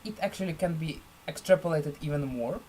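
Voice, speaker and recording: A man presenting a screen-share software tutorial in halting, deliberate phrases with formal, elaborate wording. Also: a little high, slight background noise, rough recording.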